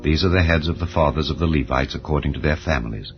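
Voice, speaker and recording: A man narrating a dramatized scripture reading in a solemn, measured, declamatory manner. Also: very deep voice. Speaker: Bible narrator. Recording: dull lo-fi audio over music. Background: calm background music.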